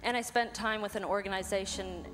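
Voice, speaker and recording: A woman in her thirties from Ireland tells her story on stage in short, earnest, measured phrases, pausing after each one. A long hall reverb trails her voice, and clear music plays beneath. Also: medium pitch, steady tone. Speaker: missionary guest. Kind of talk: testimony on stage. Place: large hall. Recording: echoey hall with music behind.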